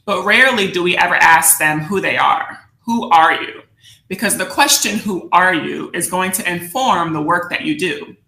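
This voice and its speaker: Bright-voiced American woman presenting, roomy sound. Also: on the low side, lively intonation, vocal fry. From United States, New Jersey suburbs. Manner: deliberate, reflective, uses rhetorical questions. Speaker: workshop presenter, educator. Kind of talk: instructional presentation to students. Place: indoor room, virtual session. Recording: roomy echo on the voice.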